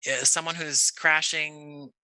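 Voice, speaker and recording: A man with a strikingly bright, sharp voice, recorded close and dry. He talks as a guest in a conversational interview, thinking aloud and rephrasing as he goes. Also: fairly high pitch, level tone, slow, smooth, no echo, low-quality recording. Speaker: interview guest, actor and audio drama creator.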